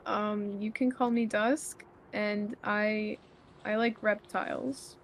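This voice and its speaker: A woman plays a reserved, quiet street artist in an audio drama. She speaks slowly and flatly, with a hesitant manner and noise behind her. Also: mid-range voice. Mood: shy, reserved. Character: Dusk, reserved quiet faceless female graffiti artist.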